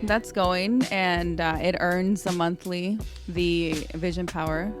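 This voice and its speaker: A woman's voice: fairly low pitch, fairly even intonation, takes it slowly, over music. A woman born in Mexico, with Spanish as her first language, speaking as a podcast guest in a thoughtful, casual way with fillers and restarts. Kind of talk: casual interview talk.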